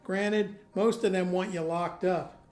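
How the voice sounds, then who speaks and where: Calm confidant played by a woman in echoey room. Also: on the low side, slow pace, faint music behind. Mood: thoughtful, supportive. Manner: calm, encouraging. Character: supportive, steady confidant of a vigilante hero. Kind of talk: audio drama acting. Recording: roomy echo.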